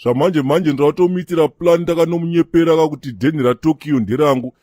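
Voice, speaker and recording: A man voicing a cocky young Zimbabwean township troublemaker in a comedy cartoon, raspy, insolent and slangy. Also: fairly high pitch, fast, mellow, no room sound, clean studio sound, lightly acted. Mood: boastful. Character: cocky, mouthy young township troublemaker with rude-boy swagger.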